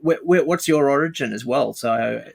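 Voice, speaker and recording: A man hosting a podcast in casual chat, talking in a halting way and repeating words as he goes. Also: medium pitch, gravelly. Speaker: podcast host.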